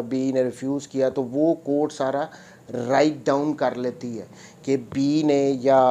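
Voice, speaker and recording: A man's voice: mid-range voice, mellow. A man with a Pakistani accent gives an explanatory legal lecture, didactically restating points in other words and mixing in English terms. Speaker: advocate presenting a law lecture.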